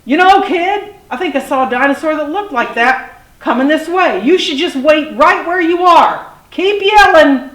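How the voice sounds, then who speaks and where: A woman voicing a friendly, helpful flying male dinosaur in a storybook tale, loud and casual. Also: high voice, lively intonation, moderate pace, echoey room, voice pushed up, poor audio. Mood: helpful, breezy.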